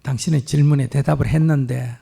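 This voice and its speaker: A man's voice: mid-range voice, fairly even intonation, rapid-fire, gravelly, mellow, husky. A man, a Buddhist monk, patiently answering a question step by step with examples at a temple gathering. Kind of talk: dharma Q&A answer. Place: temple hall.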